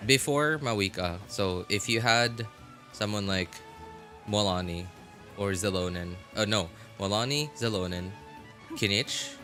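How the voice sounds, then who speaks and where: A man with a fairly low but bright, forward voice talks casually on a game stream. He rephrases himself as he goes, in a conversational way. Music plays behind him. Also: a little slow. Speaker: live streamer. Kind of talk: casual game stream chat, answering viewers.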